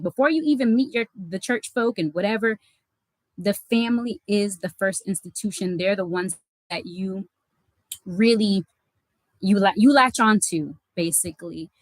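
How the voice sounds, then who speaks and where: A woman's voice: medium pitch, dry recording, rough recording. Casual, dry-sounding woman host. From United States. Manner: casual, many fillers and self-corrections. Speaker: life coach and channel host. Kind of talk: reflective personal talk to camera.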